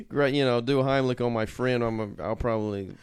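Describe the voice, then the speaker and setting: A middle-aged American man co-hosting a casual talk show, speaking in a halting way and often repeating his words. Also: mid-range voice. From Louisiana, United States. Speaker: show co-host. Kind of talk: casual chat, reminiscing.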